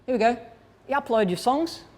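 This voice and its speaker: A woman's voice: on the high side, expressive, a little fast, a little mellow. A woman presenting a workshop in an informal, conversational way, explaining and thinking aloud as she demonstrates. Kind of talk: informal instructional talk to attendees.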